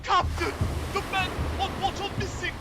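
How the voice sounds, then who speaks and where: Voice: very high-pitched, little pitch movement, normal speed, very noisy. An actor playing a frightened sailor who calls out urgently in a radio drama. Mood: panicked, calling out. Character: frightened sailor crying out in alarm.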